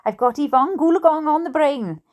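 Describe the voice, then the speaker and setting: Cheerfully oblivious Welsh father read by a woman, enthusiastic and chatty, higher voice, bedtime storybook. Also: brisk, a little mellow, lightly acted. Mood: musing. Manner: eager, chatty. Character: enthusiastic, easily fascinated dad, cheerfully oblivious.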